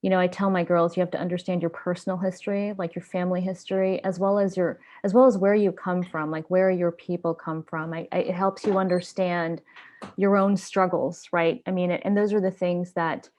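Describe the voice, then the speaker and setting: A woman's voice: fairly low pitch, flat delivery, brisk, darkish tone. A woman with an other than English, speaking as an interview guest about her life, thoughtful and often repeating words as she searches for them. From grew up in Japan and Indonesia. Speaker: interview guest, community activist. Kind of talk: casual interview talk.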